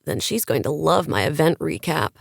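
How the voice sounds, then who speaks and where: A wry, teasing young friend whispers jokes at the narrator's side in a lowish, mellow voice, as a woman narrator puts her on. The recording is dry and close. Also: animated, moderate pace, gravelly, dry recording, heavily acted.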